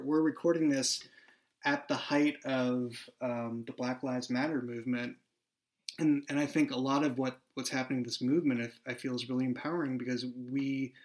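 A man speaking as a writer and podcast creator in a reflective, conversational discussion, earnest and a little halting as he works through his thoughts. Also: mid-range voice.

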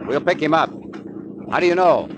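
An actor playing a decisive major, clipped, matter-of-fact and commanding, in 1940s radio style. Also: male voice, on the high side, expressive, moderate pace, close to an everyday voice. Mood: explaining. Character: decisive, authoritative male Army major undercover. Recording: noisy old 1940s radio recording.

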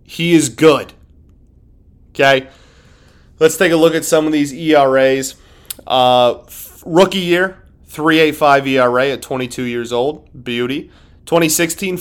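A man hosting a sports podcast, talking casually and emphatically, often repeating himself and restarting sentences. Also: mid-range voice.

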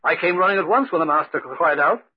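A man's voice: fairly high pitch, expressive, fast talker, slightly rough. A man playing an anxious, deferential castle butler in 1940s radio acting style. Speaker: actor in radio drama. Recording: muffled old radio recording.

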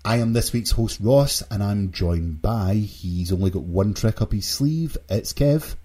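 A man's voice: fairly low pitch, measured pace, dark tone, husky. A British man hosting a casual video game podcast, sometimes stammering and repeating his words. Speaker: podcast host.

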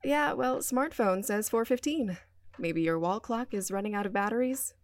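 A woman's voice: mid-range voice, normal speed, slight background noise, lightly acted. An actress playing a grudging, sarcastic young woman sent to do community service, in an audio drama. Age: young adult.

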